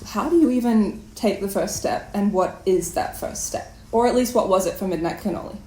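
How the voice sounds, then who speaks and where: A woman in her twenties hosting a conversational interview in a chatty, playful way with expressive phrasing. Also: medium pitch, fairly quick. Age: 20s. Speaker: podcast host and interviewer. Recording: echoey room, faint background noise.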